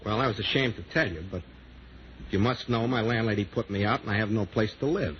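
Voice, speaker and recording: A man acting in a 1940s radio sitcom as a shameless, mock-wounded freeloading pal, brazen and deadpan in his wisecracks. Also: on the low side, fairly quick, natural voice. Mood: mock pitiful. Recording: muffled, very noisy 1940s radio recording.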